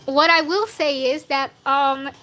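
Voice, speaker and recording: A woman's voice: high-pitched, fairly even intonation, measured pace, bright, forceful tone, soft background music. A nineteen-year-old woman with a youthful voice that sounds younger than her age, chatting casually in a slangy, rambling, self-deprecating way as she streams her drawing. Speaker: streamer drawing fan art live. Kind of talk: casual livestream chat while drawing.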